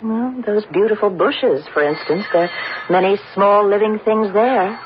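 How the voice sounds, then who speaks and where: An actress playing a gentle, thoughtful, timid wife, speaking politely and earnestly in an old-time radio drama. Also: female voice, medium pitch, moderate pace, background noise, lightly acted. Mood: gently philosophical. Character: gentle, thoughtful, timid married woman with philosophical bent. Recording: muffled vintage radio recording.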